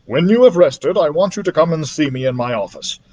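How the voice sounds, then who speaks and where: A man voicing a grave, kindly doctor who teaches about dragons in a storybook, speaking in a measured way. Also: fairly high pitch, animated, a little mellow. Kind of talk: audiobook character voice.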